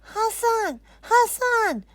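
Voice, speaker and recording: Woman putting on an excitable, high-pitched little girl. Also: no echo, heavily acted. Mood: excited. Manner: exclaiming, drawn-out words.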